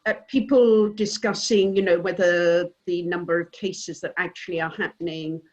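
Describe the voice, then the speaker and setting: A woman from the UK talks on a video call in a low voice with flat intonation. Her delivery is measured and reflective. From United Kingdom. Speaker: attendee, past association president. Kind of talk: casual remarks on a video call.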